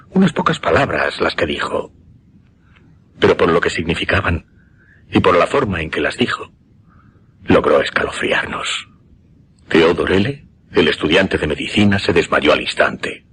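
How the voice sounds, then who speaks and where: Shaken, polite witness in radio horror, Spanish accent from Spain; deep, hesitant, solemn delivery with dramatic pauses, slightly noisy recording. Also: male voice, a bit bright, exaggerated voice. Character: shaken gentleman witness recounting a supernatural horror.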